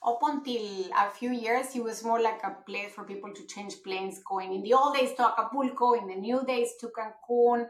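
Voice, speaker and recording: A woman from Mexico, a podcast guest, talking in an animated way with many fillers and restarts. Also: on the high side, moderate pace, few pauses. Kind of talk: conversational podcast interview. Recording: roomy echo.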